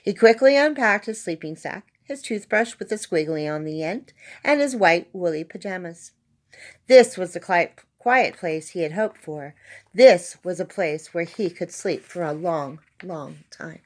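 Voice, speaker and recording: A woman's voice: lowish voice, animated, unhurried. A woman reading a children's story aloud as narrator, expressively and with dramatic emphasis on key words. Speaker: storybook reader.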